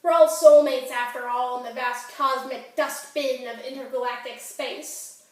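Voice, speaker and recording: In a very echoey room, away from the mic, a woman plays an angry, wounded teenage girl. The voice is high, sarcastic and exasperated. The delivery is melodramatic stage monologue. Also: steady tone, measured pace, a little mellow. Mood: defiant. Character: angry, wounded fifteen-year-old girl performance artist. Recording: echoey room, distant microphone.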